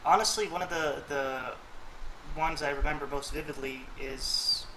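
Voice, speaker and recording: A man's voice: on the high side, steady tone, moderate pace, pushed and bright, slight background noise, low-quality recording. A man hosting a casual chat, thoughtful and rambling, with plenty of fillers. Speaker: host.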